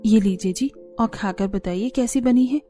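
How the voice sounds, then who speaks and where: A woman playing a gentle, high-voiced poor mother. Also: normal speed, natural voice. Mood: warm. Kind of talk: Hindi moral cartoon story dialogue. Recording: background music under the voice.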